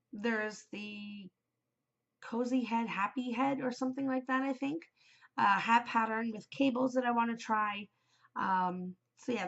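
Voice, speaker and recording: A woman's voice: mid-range voice, vocal fry, dull audio. Congested woman chatting about knitting. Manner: rambling, many ums, chatty. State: congested, voice shot from a cold. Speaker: knitting podcast host. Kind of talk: casual solo chat.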